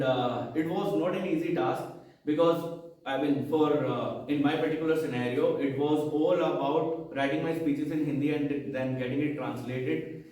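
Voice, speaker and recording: A man's voice: fairly high pitch, a little mellow. A man from Haryana with an Indian English accent, whose first language is Haryanvi, giving a motivational speech to a club audience and quizzing them with rhetorical questions. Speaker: guest speaker at a club installation ceremony. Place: meeting hall, indoors. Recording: very echoey room, mic far off.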